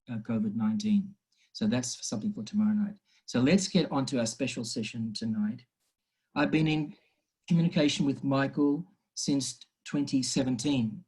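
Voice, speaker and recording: A man's voice: medium pitch, darkish tone, husky, rough recording. A man hosting an online session on a video call, formal but with frequent uhs. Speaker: host.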